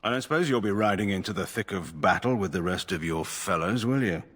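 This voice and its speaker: A man playing a stern, weary middle-aged nobleman general in a fantasy game, speaking in a formal, measured, curt way. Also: low voice, expressive, fairly bright tone, breathy edge, full character voice. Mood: measured, proud. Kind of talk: fantasy video game dialogue.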